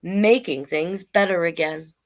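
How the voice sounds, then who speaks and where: A woman hosting a children's storytime online, reading deliberately and adding casual, chatty asides. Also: fairly low pitch. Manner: slow, deliberate read-aloud delivery with chatty asides. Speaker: drag king storytime host.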